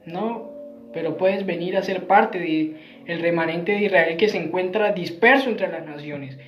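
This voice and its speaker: A man's voice: high-pitched, measured pace, soft background music. Man preaching with frequent tag questions. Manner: earnest, repetitive phrasing, frequent tag questions. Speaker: Messianic preacher. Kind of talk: Bible teaching sermon. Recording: roomy, dull lo-fi sound.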